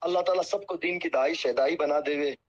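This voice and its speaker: Male cleric, slightly high voice, humble and apologetic, devout phrasing, earnest phone talk on a dull lo-fi recording. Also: a little fast. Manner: deferential, apologetic; pious, peppered with religious phrases. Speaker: Islamic cleric. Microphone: phone call. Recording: muffled lo-fi phone call recording.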